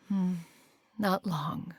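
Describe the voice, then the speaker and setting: A woman voicing an aloof, wise immortal crane master in a video game, speaking formally and calling herself "one". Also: lowish voice. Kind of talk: dramatic video game voice acting. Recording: studio voice over background music.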